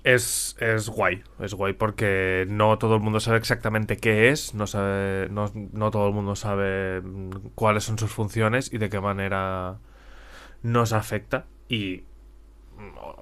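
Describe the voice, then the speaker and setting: A man's voice: lowish voice, vocal fry, fairly bright tone. A man from Spain with a slightly stuffy, congested nose, chatting casually as a podcast co-host. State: mild head cold. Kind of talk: casual conversational podcast chat.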